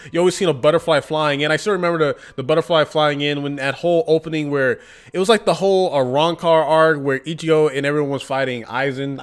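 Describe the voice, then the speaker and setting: A man giving a casual, chatty manga review, with lots of fillers and frequent laughs. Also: on the high side. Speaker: anime and manga YouTuber.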